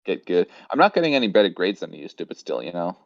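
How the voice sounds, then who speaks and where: A man's voice: low-pitched, big pitch swings, fast talker, gravelly, dry recording. A young man in casual chat, making wry joking asides. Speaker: podcast co-host, student. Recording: dry, dull lo-fi mic.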